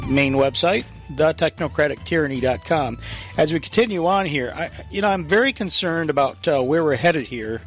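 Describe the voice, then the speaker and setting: A man's voice: on the high side, fairly bright tone. Easygoing male talk radio host. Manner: casual, agreeable, plugs the website.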